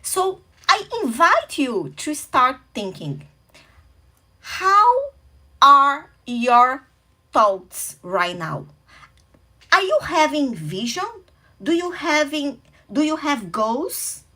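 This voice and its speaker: A woman's voice: sits high, big pitch swings, very slow, long pauses, bright, forceful tone. A woman from Brazil with a Brazilian Portuguese accent, a native Portuguese speaker hosting a motivational talk, earnest and welcoming, sometimes searching for English words. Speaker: host.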